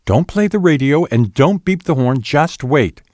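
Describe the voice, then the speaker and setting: A stern, wary man from a storybook, played by a male reader. He instructs firmly and suspiciously, with a lively rise and fall in a dry, close sound. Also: fairly high pitch, measured pace, no room sound, voice pushed up. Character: stern, wary man giving orders to his demon.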